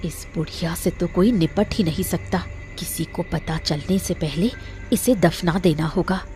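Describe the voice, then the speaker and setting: A woman's voice: fairly low pitch, measured pace, mellow, soft background music, lightly acted. A woman playing a ruthless, commanding married woman scheming in a cartoon moral story. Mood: tense, conspiratorial. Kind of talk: Hindi cartoon story dialogue.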